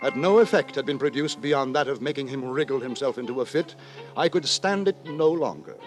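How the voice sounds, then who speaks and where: A man acts a fussy, pompous gentleman narrator in a vintage radio play. His high, very animated voice swells with florid indignation. Music plays prominently behind him on the old recording. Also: natural voice. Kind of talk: old-time radio drama acting.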